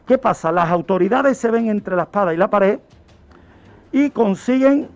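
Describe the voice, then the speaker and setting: A man's voice: sits high, gravelly, a little mellow. A man tells true-crime history on the radio, emphatic and explanatory, asking his own questions as he goes. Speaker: criminologist, regular true-crime narrator on a radio show. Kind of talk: storytelling radio commentary. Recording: dull lo-fi radio audio with music.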